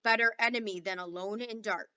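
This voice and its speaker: A woman voicing a small, stubborn man who speaks in short, clipped phrases, loudly. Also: medium pitch, fairly even intonation, fairly quick, clear, resonant tone, no echo, dull audio, close to an everyday voice. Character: small proud man speaking broken English.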